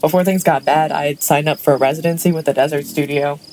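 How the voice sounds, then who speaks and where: A woman playing a gentle, kindly sculptor in an apocalyptic western audio drama, with understated delivery. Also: low-pitched, fairly even intonation, quick pace, a little hiss or hum, close to an everyday voice. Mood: kind, shy.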